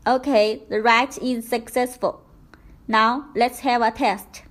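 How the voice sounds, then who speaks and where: A woman presenting a product tutorial, reading scripted instructions in a careful, deliberate step-by-step way. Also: on the high side, fairly even intonation, fairly bright tone.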